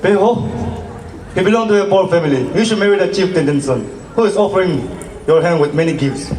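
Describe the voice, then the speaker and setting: A man's voice: high-pitched, fairly quick, lightly acted. Man acting stern village father, echoey room. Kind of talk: amateur folk tale acting. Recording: echoey room with loud background chatter.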